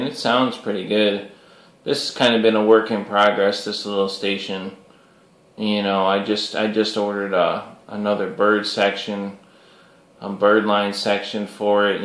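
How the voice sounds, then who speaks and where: A man chatting casually as a CB radio operator, easygoing and a little halting, repeating himself. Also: fairly low pitch. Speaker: CB radio operator making a video. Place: radio station set-up, recorded on camera. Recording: roomy, echoing home radio station.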